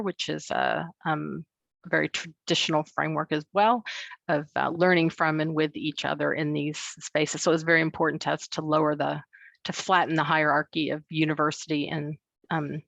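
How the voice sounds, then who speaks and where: Low, gravelly-voiced woman presenting research. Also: fairly bright tone, dry recording, muffled recording. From not from New Zealand. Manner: measured, with frequent um and uh fillers. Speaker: education researcher presenting at a book launch. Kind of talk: academic presentation. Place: New Zealand, speaking remotely.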